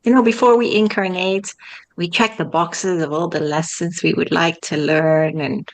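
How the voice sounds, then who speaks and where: A woman's voice: fairly low pitch, fairly even intonation, normal speed. A woman in her forties from the Netherlands, a spiritual coach chatting openly in an interview, joking and using many fillers. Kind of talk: casual interview chat.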